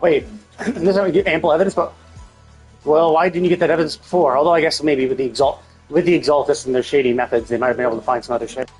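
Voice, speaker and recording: A man with a slightly husky voice streaming casual game commentary, thinking aloud in a questioning, rambling way. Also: fairly high pitch, steady tone, quick pace, a little mellow, faint music behind, rough recording. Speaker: live streamer.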